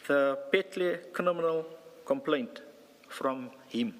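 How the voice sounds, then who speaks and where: A South African man, a police captain, gives formal testimony as a witness, sometimes halting and repeating words. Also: a little high. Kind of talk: formal testimony, partly reading a statement aloud. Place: large indoor hearing room. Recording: reverberant hearing room.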